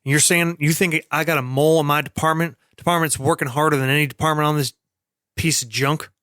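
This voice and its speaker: A man playing a gruff, defensive engineering chief in a tabletop game, blunt and confrontational. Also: a little high, steady tone, a little fast, a bit bright, no room sound, studio quality, pitched above the performer's own voice, close to an everyday voice. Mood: angry, defensive. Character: gruff, defensive, hot-tempered male starship engineering chief.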